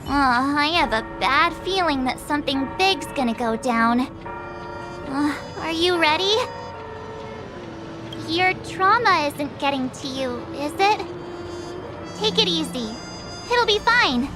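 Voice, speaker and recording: A woman voicing a perky, casual, warm-hearted teenage girl adventurer in a video game, chatty and informal. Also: sits high, lively intonation, slow pace, pauses often. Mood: uneasy, caring. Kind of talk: scripted video game dialogue. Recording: music behind the voice.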